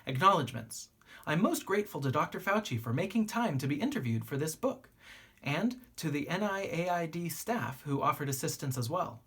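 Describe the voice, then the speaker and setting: A woman reading a children's book aloud like a teacher, with deliberate phrasing and expressive pauses. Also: low-pitched, slightly rough.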